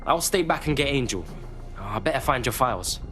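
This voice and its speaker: An actor playing a brash, friendly young hacker with a London accent and slangy, sweary talk in a video game. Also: male voice, medium pitch, animated, fairly quick, a bit bright, soft background music, natural voice. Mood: brash, matey. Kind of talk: dramatic game cutscene acting.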